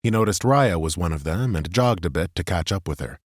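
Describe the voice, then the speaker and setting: Male narrator, low voice, steady storytelling, fantasy audiobook, dry studio sound. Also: a little fast, no echo.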